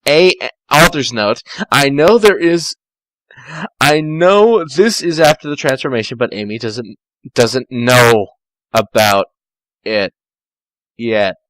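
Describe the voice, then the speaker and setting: A man's voice: medium pitch, lively intonation, slow pace, pauses often, fairly bright tone, dry recording, poor audio. A man giving an amateur dramatic story reading, stumbling, correcting himself and dropping in asides. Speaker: fan fiction reader.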